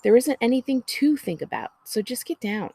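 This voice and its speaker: Woman acting impatient teen big sister, storybook character, scolding, sardonic, gravelly edge, dry sound. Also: a little high, very animated, moderate pace, mellow, no room sound, pitched above the performer's own voice, heavily acted. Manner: scolding, exasperated. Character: impatient, bossy, sardonic teenage older sister. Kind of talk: children's storybook character reading.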